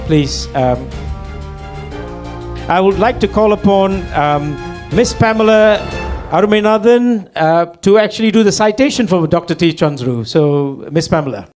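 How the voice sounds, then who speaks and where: A man's voice: high voice, expressive, a little slow, darkish tone, faint music behind. A man hosting an award ceremony, making formal announcements with fillers between them. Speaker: emcee.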